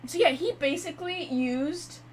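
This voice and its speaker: A woman giving casual, slangy gameplay commentary, reacting to cutscenes in an excitable, exclamatory way. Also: sits high, normal speed, slight background noise. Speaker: gamer reacting to game cutscenes.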